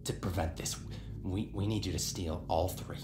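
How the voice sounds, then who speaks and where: Low-voiced, stammering nervous villager played by a man. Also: normal speed, natural voice. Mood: anxious, earnest. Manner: stammering, hesitant. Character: nervous, stammering male villager plotting against monsters. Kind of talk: video game character dialogue. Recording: echoey, loud music behind.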